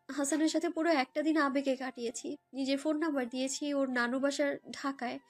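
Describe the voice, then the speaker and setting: High girlish woman narrating. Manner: emotive, girlish. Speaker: narrator of a romantic story. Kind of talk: dramatized first-person story narration in a girl's voice. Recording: background music under voice.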